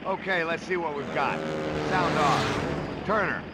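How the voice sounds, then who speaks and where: An actor playing a tough, curt Marine squad leader giving orders in a war game. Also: male voice, on the high side, lively intonation, slow pace. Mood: stern. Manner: gruff, curt, authoritative. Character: tough, commanding Marine sergeant in the Pacific war. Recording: loud gunfire and battle noise.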